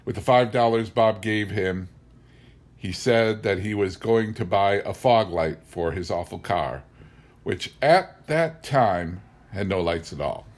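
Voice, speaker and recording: A man narrating a humorous memoir as an audiobook, with wry, measured storytelling. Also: fairly low pitch, expressive. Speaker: audiobook reader.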